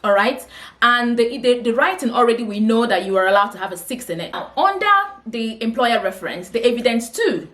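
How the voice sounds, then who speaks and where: A woman's voice: on the high side, lively intonation, brisk, a bit bright. Nigerian woman giving patient advice. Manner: patient, advising, checks in with "okay?". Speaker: coach for nurses. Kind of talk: explanatory vlog talk.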